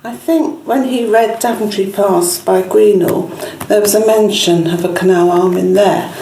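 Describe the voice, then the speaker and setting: A woman's voice: medium pitch, fairly even intonation, unhurried, creaky, mellow. An older woman reminiscing in an interview, telling anecdotes in a conversational way. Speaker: councillor interviewee. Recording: echoey room with faint noise.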